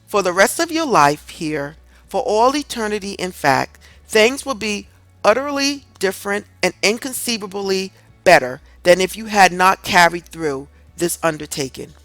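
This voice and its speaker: A woman's voice: medium pitch, expressive, normal speed, fairly bright tone, no echo, low-quality recording. Woman reading a self-help audiobook, dry sound. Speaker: audiobook reader. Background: music in the background.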